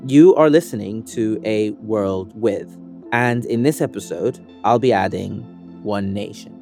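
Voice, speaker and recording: A young adult man from Sudan, an organizer and activist, reading a scripted first-person essay in an earnest, measured, persuasive way. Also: fairly low pitch, gravelly, over music.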